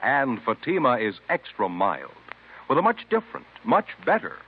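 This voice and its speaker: A man's voice: mid-range voice, huge pitch swings, normal speed, husky. A man reading a vintage radio commercial as an announcer, with an emphatic salesman's delivery. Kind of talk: 1950s radio advertising pitch. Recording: tinny vintage radio recording.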